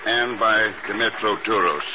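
Man playing low-voiced, cool secret agent. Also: moderate pace, close to an everyday voice. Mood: cool, skeptical. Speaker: lead actor in a radio drama. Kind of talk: 1950s radio acting. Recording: muffled, noisy old radio recording.

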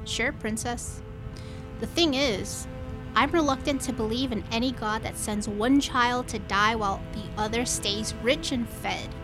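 High-voiced, brash space pirate. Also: female voice, unhurried, music in the background, poor audio. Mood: sarcastic. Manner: sarcastic, flippant, defiant. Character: brash, wisecracking young orphan space pirate woman. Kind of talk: scripted sci-fi audio drama acting.

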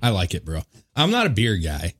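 A male podcast host with a fairly low voice. His pitch swings widely as he rambles through casual banter, laid-back and peppered with swearing. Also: huge pitch swings, fairly quick, soft background music.

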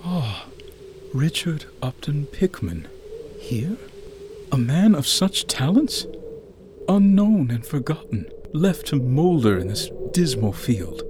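A man's voice: fairly high pitch, very animated, slow, background noise. A man playing a trembling, pleading writer. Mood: mournful. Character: frightened male writer pleading with a ghost. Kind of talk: radio drama acting.

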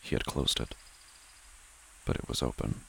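A man narrating a literary horror drama in a hushed, deliberate way. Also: a little hiss or hum.

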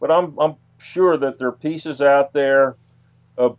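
A man speaks as a researcher being interviewed, in a mid-pitched voice with a dry sound. He talks conversationally but haltingly, often restating a phrase to drive it home. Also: dry recording. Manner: halting, repeats phrases for emphasis.

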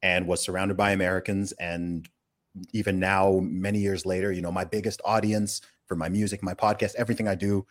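A man with a lowish voice hosts a conversational podcast. He argues his views with emphasis, often repeating a word as he builds a point and tagging it with a quick check for agreement. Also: little pitch movement. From born in the UK, grew up in Saudi Arabia. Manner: opinionated, emphatic, repeats words and often tags points with "right?". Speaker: podcast host.

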